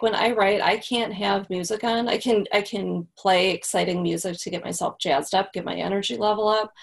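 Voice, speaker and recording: A woman's voice: medium pitch, gravelly. A woman guest on a podcast interview, explaining practical tips in a casual, conversational way and checking in with "right?". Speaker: copywriting guest.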